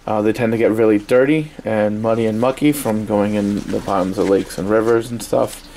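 A man giving a casual, halting, offhand unboxing review at a desk in a small indoor workspace. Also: fairly low pitch. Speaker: product reviewer. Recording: steady noisy room ambience.

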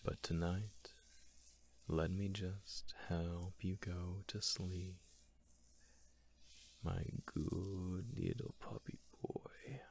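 A man playing an affectionate, teasing, gently dominant roommate in an ASMR roleplay, soothing and breathy. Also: deep voice, little pitch movement, slight background noise, heavily acted. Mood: tender, teasing. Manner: slow, soothing, breathy, doting. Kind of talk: ASMR roleplay, whispered and softly spoken.

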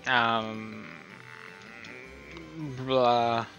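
Guy doing a laid-out-as-he-goes tutorial, bright forward voice, kinda hesitant, lo-fi mic w music behind. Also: medium pitch, level tone, very slow. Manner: rambling, hesitant, self-deprecating. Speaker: tutorial host. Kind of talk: casual unscripted tutorial narration.

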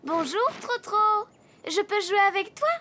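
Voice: measured pace, background noise. A performer voicing a cheerful, eager little girl donkey in a preschool cartoon, with a childlike voice. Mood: friendly. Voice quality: high, childlike. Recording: music behind the voice.

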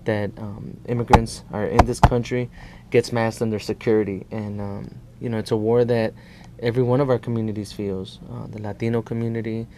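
A man in his thirties from El Salvador, discussing politics as an activist guest in halting, repetitive phrases. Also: fairly low pitch, flat delivery, a little mellow, a little hiss or hum. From born in El Salvador. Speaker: guest, immigrant rights activist. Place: small indoor TV studio.

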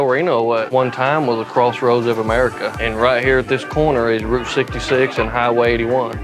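A man, son of a diner owner, talking plainly and steadily in an interview inside a busy diner. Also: medium pitch. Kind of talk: casual interview. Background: music.

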